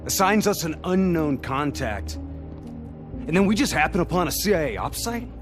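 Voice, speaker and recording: An actor playing a gruff, suspicious special-forces commander in a video game, terse and guarded. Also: male voice, fairly high pitch, big pitch swings, normal speed, over music. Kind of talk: dramatic video game acting.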